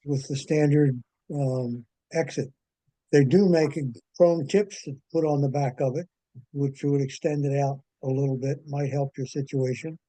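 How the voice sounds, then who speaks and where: A man giving casual technical advice on a call in a measured, matter-of-fact way. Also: mid-range voice, fairly even intonation, warm tone, no room sound, rough recording. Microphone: conference call.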